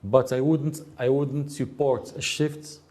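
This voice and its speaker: A man with a Moroccan accent answers questions in a studio interview, earnest and insistent. He often stumbles and restarts mid-sentence before landing his point. His voice sits at an ordinary middle pitch. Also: flat delivery, slow pace, darkish tone. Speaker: guest.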